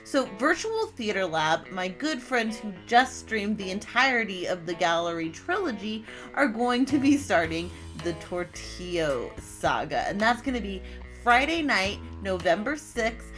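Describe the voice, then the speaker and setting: A woman's voice: mid-range voice, very animated, unhurried, a bit bright. An American woman, slightly tipsy, talking in a casual, chatty, enthusiastic way as she makes an impromptu video announcement. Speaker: playwright announcing own show on video channel. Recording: music playing behind the voice. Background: music.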